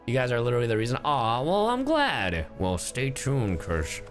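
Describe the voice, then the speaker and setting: A male streamer reacting to a game in a casual, playful way. His mid-pitched voice leaps around wildly with exclamations, over clearly audible game music. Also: wildly swooping pitch, moderate pace. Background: video game music.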